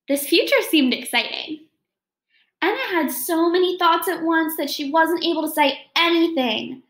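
High-voiced young woman reading a storybook aloud. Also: a bit bright, reverberant. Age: young adult. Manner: addresses young listeners with questions and comments. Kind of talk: children's picture book read-aloud with asides. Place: small home room, indoors.

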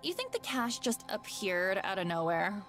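A woman's voice: medium pitch, sing-song, moderate pace. An actress playing an insolent, gloating young woman shop worker in a visual novel. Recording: music behind.

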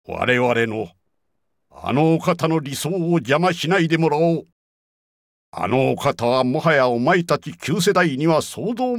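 A haughty yeti war robot from a video game, with a gravelly, forceful voice. He declaims scornfully and imperiously, swinging widely in pitch, like a boss looking down on lesser foes. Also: dry recording, studio quality. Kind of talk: Japanese video game villain acting.

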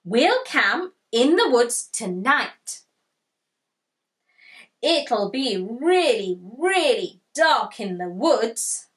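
A woman voicing an excitable, boastful young male tiger in a children's storybook, stretching words for emphasis. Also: a little high, very slow, full character voice. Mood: excited. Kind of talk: children's storybook character read aloud.

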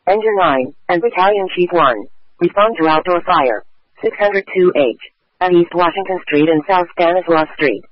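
A man's voice: high-pitched, moderate pace, no room sound. A man making a formal, procedural fire dispatch announcement over a two-way radio. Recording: dull lo-fi radio transmission.

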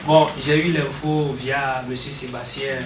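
A man's voice: mid-range voice, fairly even intonation, measured pace. Man from Togo, self-introduction, distant mic, crowd around. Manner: repeats himself. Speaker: audience member. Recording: roomy, distant, crowd behind.

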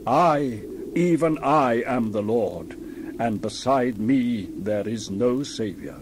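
A man giving a dramatized scripture reading in a solemn, declamatory, measured way with emphatic swells. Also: medium pitch, noisy background. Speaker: Bible reader.

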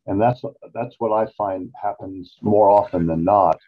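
A man in his sixties explaining things as an expert guest in a measured, emphatic way on a video call. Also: lowish voice. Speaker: psychologist interview guest. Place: small office, indoors. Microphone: video call computer microphone. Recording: dull, lo-fi video-call audio.

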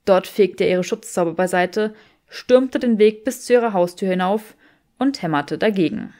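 A woman's voice: mid-range voice, moderate pace, mellow. A woman reading scripted fiction aloud as an audiobook narrator.

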